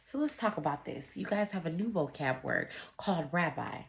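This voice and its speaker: Female teacher for a children's read-aloud, slightly low voice, highly varied intonation, instructive and emphatic. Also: very animated, normal speed, gravelly. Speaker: schoolteacher.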